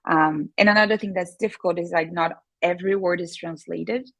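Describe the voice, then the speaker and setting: A woman from Brazil with a Brazilian Portuguese accent, her voice low and gravelly. Portuguese is her first language, and she talks earnestly in a casual interview, now and then pausing to find the right word. The sound is close and dry. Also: steady tone, no room sound. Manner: earnest, searching for words. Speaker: podcast guest.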